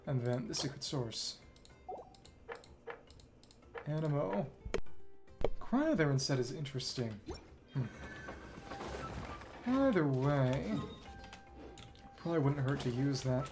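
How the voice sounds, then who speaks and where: Male game streamer, mid-range voice, musing and halting commentary in short fragments over clearly audible music. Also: mid-range voice, expressive. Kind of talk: casual gameplay commentary, thinking aloud. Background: video game music.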